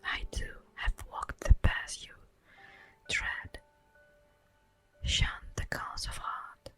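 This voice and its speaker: An immortal elf woman, played by a woman, whispers a fantasy ASMR monologue over music. Her breathy delivery is very slow and solemn, with poetic phrasing and long pauses. She sounds tender and wistful, with strongly rising and falling intonation. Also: a bit bright. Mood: gentle, understanding.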